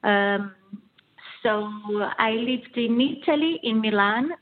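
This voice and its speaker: Brazilian accent lady coach, slow careful talk, phone line sound. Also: on the high side. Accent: Brazilian Portuguese. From southern Brazil. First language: Portuguese. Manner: measured, thoughtful, with fillers. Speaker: guest coach. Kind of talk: interview answers.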